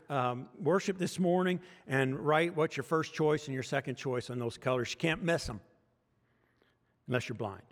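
A man's voice: a little high, big-room sound. A man with an American accent preaching a sermon as pastor, talking conversationally and joking with the congregation. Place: church sanctuary.

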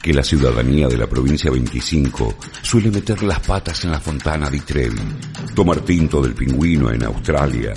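Announcer, man, very deep and expressive voice, scripted promo over background music. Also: moderate pace. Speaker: program announcer.

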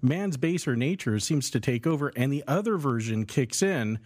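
A man's voice: medium pitch, expressive, normal speed, darkish tone. A man co-hosting a theology podcast as a pastor, explaining ideas in a casual, conversational and slightly wry way. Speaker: podcast co-host, Lutheran pastor.

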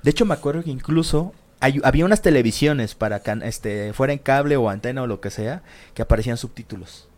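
A man's voice: medium pitch, animated, fast, a little mellow. A man co-hosting a casual chat, slangy and full of swearing and fillers.

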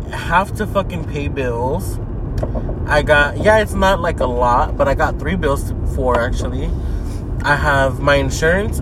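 A man of twenty-five talks while driving, loose and rambling with plenty of swearing and fillers. He is a little sick, which makes his voice sit deeper than usual, though it still sits somewhat high for a man. The car's road noise rumbles clearly behind him. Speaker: podcast host. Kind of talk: casual talking-to-camera vlog. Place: inside a car while driving. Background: car road noise.

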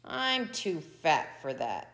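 A woman's voice: sits low, very animated, slow, fairly bright tone, big-room sound, pitched below the performer's own voice, exaggerated voice. A woman voicing a stubborn, sly little horned toad in a folk tale, defiant and matter-of-fact.

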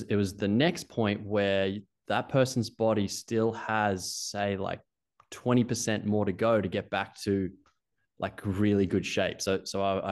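A man's voice: fairly low pitch, a little mellow, clear, resonant tone. A middle-aged Australian man, a ski coach being interviewed, talking casually in a rambling way with many restarts. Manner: rambling with frequent restarts and fillers.

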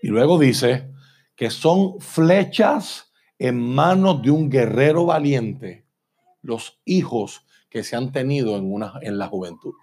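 Husky preacher man languages. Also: mid-range voice, animated, a little mellow. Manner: emphatic, slows and repeats for stress, asks "¿verdad?". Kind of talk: bilingual church sermon. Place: church sanctuary.

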